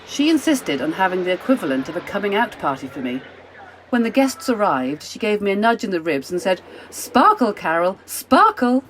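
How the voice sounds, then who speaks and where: A woman's voice: big pitch swings, moderate pace, close to an everyday voice, low-quality recording. A woman playing a polite, talkative, slightly apologetic British middle-class traveller in a radio play. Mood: chatty. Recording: faint noise, train rumble.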